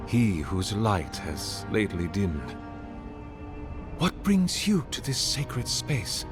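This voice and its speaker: An actor playing an ancient, solemn oracle in a fantasy game, speaking in a formal, measured and grandiloquent manner. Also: male voice, medium pitch. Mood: courtly, troubled. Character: ancient male oracle, courtly, solemn servant of a god. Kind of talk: fantasy video game dialogue. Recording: music behind the voice. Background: game music.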